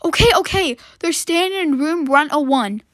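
A protective front desk lady, played by a woman in a toy-game roleplay. She is firm yet easily flustered, with an animated voice recorded dry. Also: sits high, moderate pace, dry recording.

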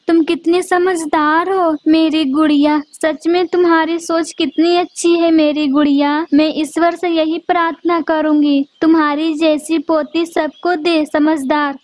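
A woman's voice: extremely high pitch, no room sound. A woman voicing an old mother-in-law bird in a cartoon, who scolds sharply and then begs forgiveness. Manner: scolding, then tearfully pleading. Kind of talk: cartoon voice acting.

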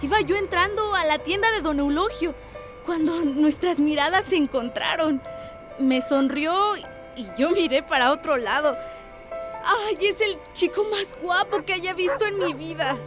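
An extremely high, girlish voice for a radio drama. She is a lovestruck teenager who gushes to her friend in a breathless, effusive way. Music plays behind her. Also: measured pace. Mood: lovestruck, excited.

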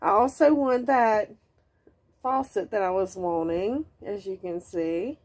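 Woman vlogger, unhurried, chatty show-and-tell on a phone camera. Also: mid-range voice, a little mellow. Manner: chatty, explains items plainly. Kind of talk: casual show-and-tell vlog.

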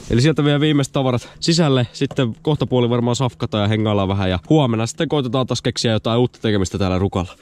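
A young adult man narrating a travel vlog in a casual, conversational way. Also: fairly low pitch. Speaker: vlogger. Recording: steady background noise, vehicle ambience.

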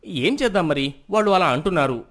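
A man's voice: a little high, lively intonation, fast talker, fairly bright tone. A man playing a proud, status-minded middle-aged merchant husband in a moral story, self-justifying and then conceding. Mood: defensive, proud.

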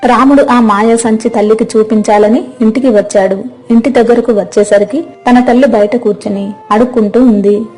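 A woman's voice: fairly high pitch, fairly even intonation, normal speed, few pauses, warm tone, faint music behind. A woman narrator reading a scripted story.

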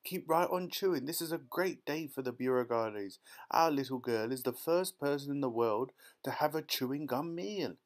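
Male reader as a brash father, emphatic, commanding, raised pitch, bossy storybook character. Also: lively intonation, moderate pace, hardly any pauses, natural voice. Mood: proud. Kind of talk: dramatised children's storybook reading.

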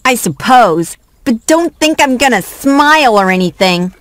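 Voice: medium pitch, lively intonation, unhurried, a bit bright. An actor voicing a snobbish, obnoxious young boy rival in a game, sneering, boastful and grudging. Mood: smug, grudging. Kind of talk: scripted game cutscene dialogue.

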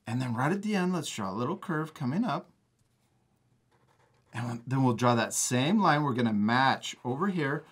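A man's voice: mid-range voice, lively intonation, normal speed, husky. A man hosting a step-by-step drawing lesson for children in a casual, encouraging manner. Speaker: art teacher and host.

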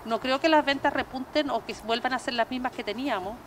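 A Chilean woman with a high voice speaks earnestly and emphatically as a business owner being interviewed. She talks spontaneously through a face mask into a handheld mic, with clear street noise around her. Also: fast talker. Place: open-sided restaurant terrace by a street. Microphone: handheld reporter microphone. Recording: outdoor street noise with traffic.

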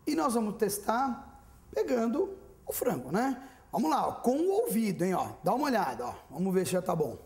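Lively man demonstrating a recipe. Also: high-pitched, normal speed. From Brazil. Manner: lively, chatty, addressing viewers directly. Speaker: TV cooking show chef. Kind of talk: cooking demonstration. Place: studio kitchen set. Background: frying and sizzling.

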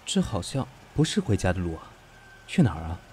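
Man acting composed, low-voiced shrewd businessman. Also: brisk, slight background noise. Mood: amused. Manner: calm, concise, dry. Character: composed, shrewd, gently teasing mature businessman. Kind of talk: Chinese audio drama acting.

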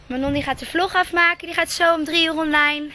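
Young woman, Netherlands-born vlogger, high and excitable, casual arm's-length camera sound. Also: fairly quick, a little hiss or hum. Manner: bubbly, excitable, laughs often. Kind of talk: casual vlog chat. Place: small car, garden outdoors, living room. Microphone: handheld camera at arm's length.

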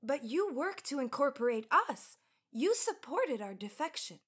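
A woman's voice: sits high, big pitch swings, unhurried. An actress playing an earnest, proud young college woman of the 1910s with formal, careful elocution in a radio drama. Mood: proud, resolute. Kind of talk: period radio drama acting.

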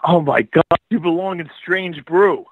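A man's voice: high voice, a little slow, breathy edge, no echo, phone-quality sound. A man with a Brooklyn and Long Island accent, telling a long rambling story as a radio guest, laughing often and full of fillers. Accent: Brooklyn/Long Island New York. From New York. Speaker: guest author and filmmaker. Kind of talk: casual radio interview.

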